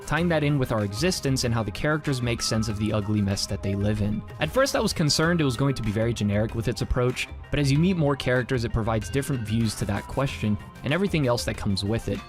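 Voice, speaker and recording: A man's voice: mid-range voice, lively intonation, fast talker, few pauses. A man narrating a scripted review in a conversational way, as a video creator. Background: music.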